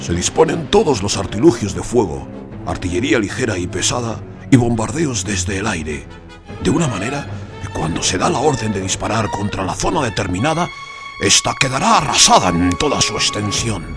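A man's voice: lowish voice, sing-song, normal speed. A man narrating a scripted radio essay, emphatic and ironic in his delivery. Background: music.

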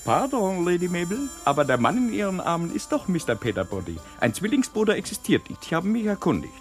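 An actor playing a formal, dutiful butler in a radio play. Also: male voice, sits high, very animated, a little fast, faint music behind. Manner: stiffly correct, polite, dry.

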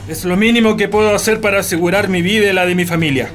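A man's voice: sits high, steady tone, fast talker, a bit bright, soft background music. An actor with a Chilean accent playing a stern, scornful hacienda patron and father in a radio drama, haughty and threatening. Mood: indignant. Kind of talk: Spanish-language radio drama acting.

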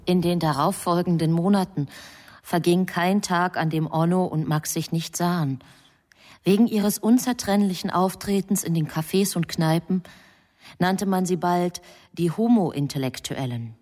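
An actress playing the composed, knowing narrator of a literary radio drama, speaking in a measured, deliberate way. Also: female voice, lowish voice, darkish tone.

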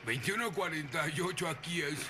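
A man acts out a lonely, despairing soul in amateur drama. His high male voice swings widely in pitch through a very noisy, clicking recording. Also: expressive, a little fast, natural voice. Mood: hesitant.

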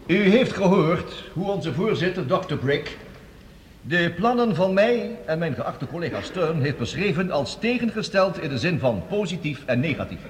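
A man's voice: a little high, sing-song, normal speed. An actor playing an eminent male professor giving a solemn, declamatory address in a radio play. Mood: solemn, oratorical. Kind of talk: Dutch radio play acting, oratory. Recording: echoing hall, background noise.

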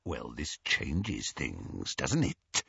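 A menacing werewolf gang leader from a fantasy audiobook. His voice drops very low into a raspy, breathy growl. He speaks slowly, with quiet threat, on a dry, slightly dull recording. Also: animated, dry recording, full character voice. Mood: quiet menace.

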